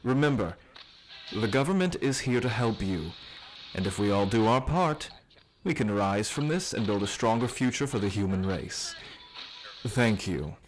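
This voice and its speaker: A man playing a measured, reassuring government immunologist explaining things formally in a broadcast interview, with hesitant pauses. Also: fairly low pitch, expressive, faint music behind, natural voice.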